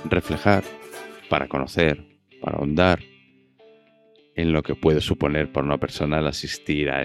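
A man's voice: extremely low pitch, creaky. A man from hosts a conversational interview in an encouraging way. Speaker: interview host.

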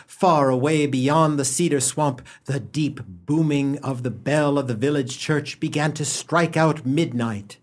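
An actor playing a droll, mock-solemn storyteller narrating a comic radio play. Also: male voice, on the high side, fairly even intonation, unhurried, few pauses, lightly acted.

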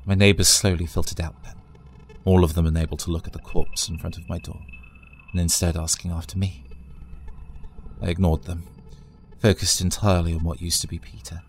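Low-voiced man narrating horror. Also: little pitch movement, fairly quick, a little hiss or hum. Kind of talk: scripted first-person horror narration.

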